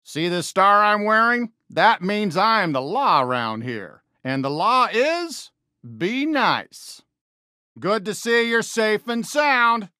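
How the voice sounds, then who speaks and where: A man's voice: sits high, very animated, slow pace, bright, forceful tone, no echo, clean studio sound, full character voice. A man voicing a friendly, upbeat toy cowboy sheriff for a video game, with an American accent and a folksy Western drawl. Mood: folksy, good-natured. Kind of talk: animated video game character lines.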